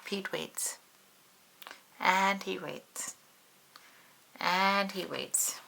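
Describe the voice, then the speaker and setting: A woman reading a children's picture book aloud in an expressive, animated way with dramatic emphasis. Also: mid-range voice, extremely slow, gravelly, a little hiss or hum. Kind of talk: expressive storybook read-aloud for young children.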